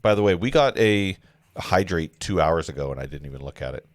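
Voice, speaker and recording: A man's voice: sits low, expressive, brisk, no room sound. A man hosting a livestream table read, narrating screenplay stage directions with casual asides.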